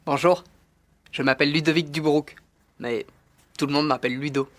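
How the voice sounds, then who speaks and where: An actor playing a 26-year-old computer scientist from Belgium introducing himself. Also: male voice, on the high side, steady tone, normal speed, a bit bright, natural voice. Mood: friendly. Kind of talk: scripted self-introduction.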